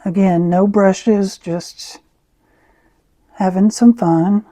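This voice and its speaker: A woman with a raspy voice, who often clears her throat as she chats through an art tutorial. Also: medium pitch, fairly even intonation, dry recording. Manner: slow, soft-spoken, frequent throat clearing. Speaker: art channel host. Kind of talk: casual art tutorial chat.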